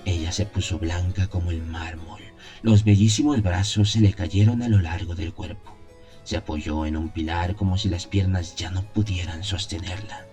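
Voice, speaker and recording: Deep, dark-voiced man narrating gothic horror. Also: moderate pace. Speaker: narrator of an audio horror story. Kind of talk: dramatic scripted first-person narration of a gothic tale. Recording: music behind the voice. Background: music.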